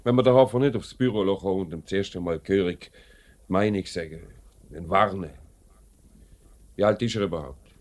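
An actor in a 1950s Swiss German radio play, playing a lenient, hesitant company director who speaks deliberately. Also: male voice, sits low, a little mellow, natural voice. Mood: reluctant. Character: lenient, hesitant company director, middle-aged man in charge.

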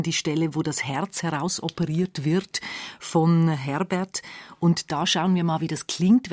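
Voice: mid-range voice, darkish tone, no echo. A radio host leads a conversational magazine interview. The delivery is informal and emphatic, with questions built up piece by piece. The studio sound is dry and close.

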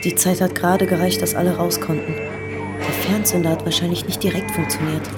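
An actress playing a brisk, insistent police detective in a naturalistic radio crime drama, with colloquial delivery. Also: female voice, lowish voice, steady tone, loud music behind the voice, lightly acted. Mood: matter-of-fact, probing.

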